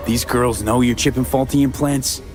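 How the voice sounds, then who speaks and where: A man's voice: medium pitch, steady tone, moderate pace, music in the background, close to an everyday voice. A man playing a blunt, streetwise mercenary in a video game, speaking in a terse, pressing way. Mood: firm, probing.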